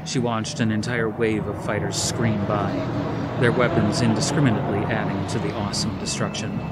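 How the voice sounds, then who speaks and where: A man's voice: fairly low pitch, heavy background noise. A man reading a dramatic story as scripted audiobook narration.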